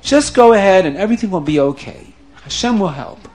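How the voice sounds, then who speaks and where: A man voicing a steady wife who reassures her husband in a firm, encouraging way. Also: high-pitched, a little fast, a little hiss or hum, voice pushed up. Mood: reassuring.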